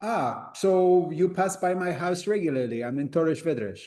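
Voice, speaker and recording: Polish-born host on a video call. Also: male voice, a little high, normal speed, dark tone. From Poland. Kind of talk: casual call conversation.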